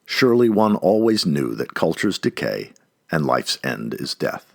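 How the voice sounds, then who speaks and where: A man's voice: rumbling low, big pitch swings, a little slow, gravelly, no room sound, clean studio sound. A man reading an essay aloud in an emphatic manner, occasionally stumbling and correcting himself. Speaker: audiobook-style essay reader. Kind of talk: expressive read-aloud of an essay.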